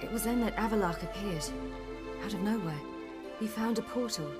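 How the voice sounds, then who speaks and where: A woman's voice: mid-range voice, natural voice. A woman voicing a resolute young swordswoman. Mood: calm. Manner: measured, matter-of-fact recounting. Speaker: game character. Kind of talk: recounting a story in dialogue. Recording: game audio over music.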